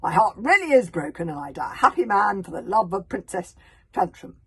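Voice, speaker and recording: Woman doing a posh show-off suitor guy, loud and grand, husky. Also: medium pitch, very animated, brisk, no echo, heavily acted. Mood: boastful. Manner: grand, boastful declaring. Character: pompous noble young male suitor.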